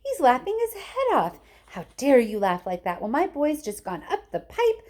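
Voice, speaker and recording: Woman acting out a shrill hysterical storybook mother. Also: huge pitch swings, normal speed, a little mellow. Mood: indignant. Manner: frantic, bossy exclamations. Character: hysterical, shrieking, indignant mother. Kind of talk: children's storybook read aloud.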